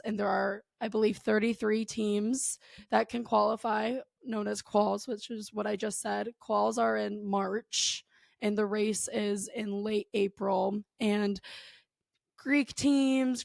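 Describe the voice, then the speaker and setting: A woman in her twenties, a guest on a podcast, with a hoarse voice, explaining things in a lively way. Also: mid-range voice, no room sound. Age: 20s. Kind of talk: casual chat.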